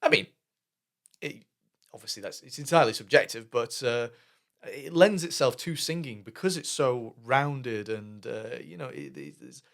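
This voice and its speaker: A man's voice: mid-range voice, very animated, moderate pace, a bit bright. A young British man hosting a reaction video, thinking aloud in casual commentary with lots of fillers and restarts. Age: young adult. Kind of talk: casual commentary over music. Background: music playing faintly.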